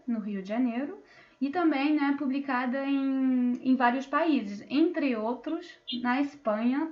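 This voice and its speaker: A woman's voice: high voice, lo-fi sound. A woman moderating an online panel, introducing guests with many fillers and restarts, over a video call. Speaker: debate moderator.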